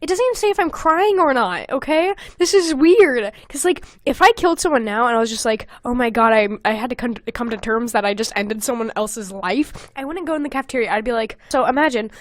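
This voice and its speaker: A woman's voice: a little high, animated, a little fast, hardly any pauses, no room sound, studio quality. A young adult woman hosting a podcast, casually reading old fan fiction aloud with giggly, sweary comic asides. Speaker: podcast host.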